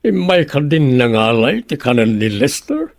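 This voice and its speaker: A man's voice: mid-range voice, big pitch swings, a little slow, creaky. A man playing a suspicious, questioning member of a well-off household in an Ilocano radio drama. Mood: suspicious, demanding.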